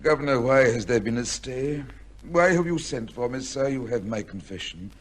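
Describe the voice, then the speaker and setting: An actor playing a broken, condemned gentleman devoted to the law, speaking formally and haltingly in an old-time radio drama. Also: medium pitch, expressive, close to an everyday voice. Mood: weary, resigned. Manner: halting, impassioned, formal theatrical delivery.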